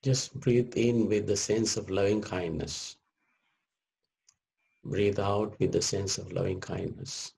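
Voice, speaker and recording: A man leading a guided meditation as a teacher, speaking gently in short measured phrases with long pauses. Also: low voice, fairly even intonation, creaky.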